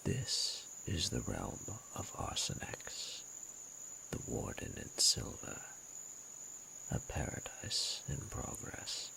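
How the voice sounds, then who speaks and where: Guy doing an ancient dragon lord for romance roleplay, really low n gravelly, breathy, courtly teasing, faint crickets behind. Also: big pitch swings, takes it slowly, pushed and bright, a little hiss or hum, heavily acted, poor audio. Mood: proud, wistful. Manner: formal, archaic, teasing and courtly. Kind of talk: romantic audio roleplay, intimate slow monologue.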